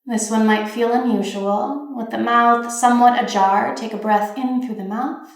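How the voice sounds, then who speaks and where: A woman with a slightly high voice leads a guided meditation in a very reverberant room. She gives gentle, soothing instructions, unhurried and spaced with pauses. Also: fairly even intonation.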